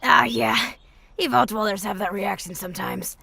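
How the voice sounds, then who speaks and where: Woman acting as old female wasteland wanderer, very gravelly, forceful, brisk mentor, audio drama. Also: mid-range voice, a little hiss or hum. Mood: gruff, fond. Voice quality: raspy, gravelly.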